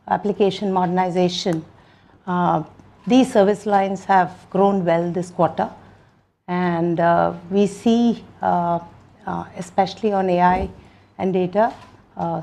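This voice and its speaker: A woman executive with an Indian accent answers questions on a corporate call. She speaks at a middle pitch, feeling her way through phrases in a measured, businesslike manner. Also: a little mellow. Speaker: company executive.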